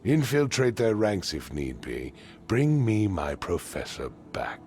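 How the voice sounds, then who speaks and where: A man playing a haughty, commanding patrician in a video game, with formal, pompous and deliberate phrasing. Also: on the low side, very animated, darkish tone, a little hiss or hum. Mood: stern, aggrieved. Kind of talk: video game cutscene acting.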